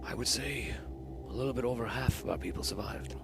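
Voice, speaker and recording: A man's voice: mid-range voice, a little fast, noisy background, lightly acted. A man voicing an old male elf seer who is a little off and fumbles about.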